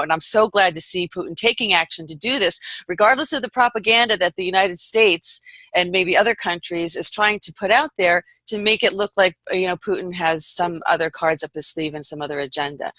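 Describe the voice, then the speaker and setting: A woman's voice: on the low side, bright, forceful tone, no echo. A woman hosting a talk show in an unscripted, conversational style. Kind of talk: unscripted discussion, putting questions to co-hosts.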